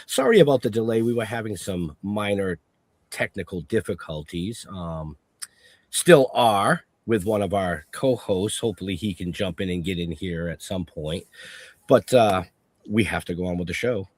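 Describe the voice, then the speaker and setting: A man's voice: deep voice, normal speed, darkish tone, no echo. A man hosting a casual online talk show, opening with hype and laughing often between lines. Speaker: podcast host. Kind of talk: casual chat.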